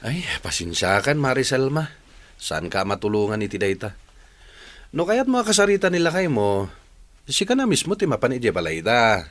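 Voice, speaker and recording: A man's voice: medium pitch, sing-song, moderate pace, no room sound, lightly acted. A man with an Ilocano accent playing a frank, reluctant, apologetic villager in a radio drama.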